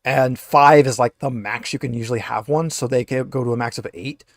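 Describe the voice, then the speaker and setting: A man's voice: mid-range voice, steady tone, a little fast, no room sound. A man speaking as a game master, explaining rules and narrating in a casual, conversational way.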